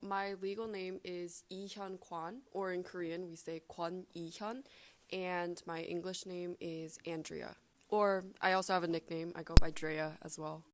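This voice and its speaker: A young woman answering interview questions in a calm, measured way. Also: lowish voice, a little hiss or hum. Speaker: student, interviewee.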